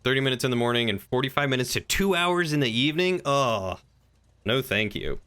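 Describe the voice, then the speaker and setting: A man talks casually while livestreaming game roleplay. His voice is crisp and forward, with a bright edge at an ordinary pitch. He rambles along, thinking out loud and reading out chat. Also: animated, a little fast.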